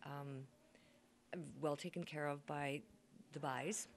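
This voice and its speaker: A woman's voice: low-pitched, fairly even intonation, slow pace, slight background noise. A woman over sixty, a grandmother and actor, chatting as an interview guest and telling stories with acted-out quotes. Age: older. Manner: chatty storyteller, acts out quotes. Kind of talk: casual interview chat.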